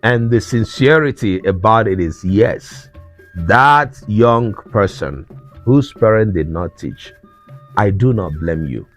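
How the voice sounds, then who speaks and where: A man with a Nigerian accent, a native Igbo speaker, giving an earnest talk on culture in slow, deliberate phrases that trail off. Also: on the low side, expressive, soft background music. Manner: slow, deliberate phrasing that trails off at sentence ends, with wry chuckles.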